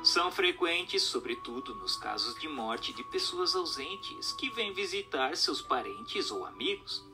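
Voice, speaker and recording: A man reading an expository text as a narrator, in a formal, measured, didactic way. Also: fairly high pitch, big pitch swings, over music.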